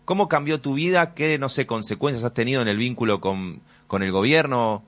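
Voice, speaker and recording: An interviewer with a Rioplatense accent asks long, searching questions, pausing on fillers as he goes. His voice sits in a middle pitch. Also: a bit bright. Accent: Rioplatense Spanish.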